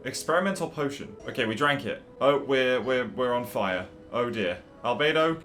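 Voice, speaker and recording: A young man commentates on a game in a casual, laid-back way. His voice is mid-pitched with lively swings, and he talks in slangy asides. Game music plays behind him. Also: normal speed. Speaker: gaming streamer.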